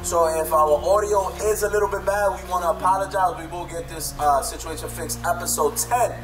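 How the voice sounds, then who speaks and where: A man's voice: high voice, fairly even intonation, fairly quick, far from the mic. Slangy New man in an echoey room. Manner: slangy, profane. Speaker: podcast host. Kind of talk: casual chat. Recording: echoey room, hip hop music over voice.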